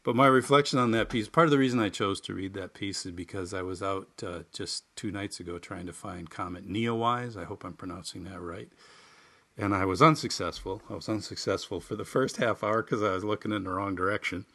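Bright-voiced, low-pitched folksy man. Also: fairly even intonation, a little fast. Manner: wry, folksy, self-deprecating. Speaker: writer and podcast host. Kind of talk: reading a humorous personal essay and chatting informally.

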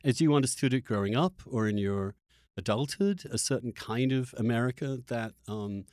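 Man, podcast host, medium pitch, dry sound, halting, reflective, conversational, repeats words. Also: darkish tone, no room sound. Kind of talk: conversational political discussion.

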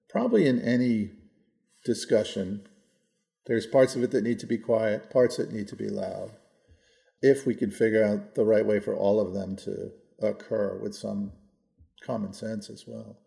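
Male, 60s, American. Creaky, relaxed voice, measured conversational delivery, podcast co-host who qualifies as he goes. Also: on the low side. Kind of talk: reflective policy discussion, conversational.

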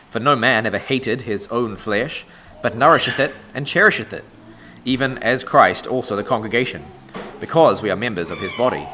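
Hoarse man preaching a sermon. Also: mid-range voice, bright, forceful tone, a little hiss or hum. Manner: measured, deliberate preaching cadence. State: hoarse from a sore throat. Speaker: pastor. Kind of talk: sermon with scripture reading.

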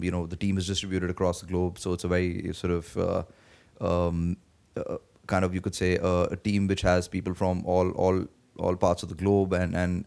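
A man being interviewed as a guest, answering in a halting way with many fillers, his voice worn from days of talking. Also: deep voice, darkish tone. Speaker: guest, blockchain project team member. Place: conference venue.

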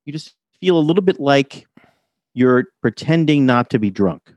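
A man's voice: mid-range voice, lively intonation, moderate pace, a little mellow, no echo. A man guest on a podcast, chatting casually and self-deprecatingly with many fillers while a little dizzy from illness. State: slightly dizzy, recovering from illness. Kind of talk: casual interview chat.

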